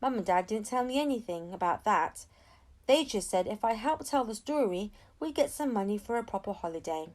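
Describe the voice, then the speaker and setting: A woman's voice: medium pitch, lively intonation, brisk, darkish tone, natural voice. A woman voicing a curious, chatty young schoolgirl in a children's story. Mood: curious, surprised.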